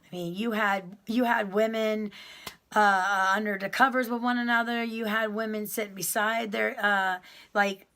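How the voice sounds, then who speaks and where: A woman's voice: medium pitch, steady tone, measured pace. A woman telling her prison story to viewers in a casual, rambling way, full of ums and restarts. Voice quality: loud voice that carries.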